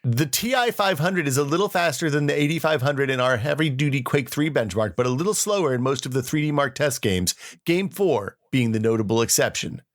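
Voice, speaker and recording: A man's voice: mid-range voice, expressive, a little fast, few pauses, voice pushed up, close to an everyday voice. A man reading an old forum post as a smug, know-it-all tech reviewer, chipper and lecturing. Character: his younger self, smug know-it-all tech reviewer posting online.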